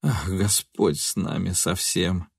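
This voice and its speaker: A male reader acts a bewildered, guilt-stricken man in a literary audiobook. The voice is fairly low and subdued, sorrowful and pleading. The sound is dry and close. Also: lively intonation, moderate pace, a little mellow, no echo. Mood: anguished. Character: bewildered, remorseful man, soothing his angry lover.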